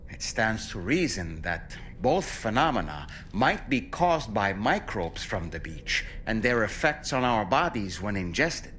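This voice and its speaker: A man's voice: on the low side, big pitch swings, unhurried, few pauses, fairly bright tone, slight background noise. A man playing a refined, courteous companion in a video game, speaking in formal, wordy and earnest sentences. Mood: thoughtful, explaining. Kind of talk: scripted game dialogue.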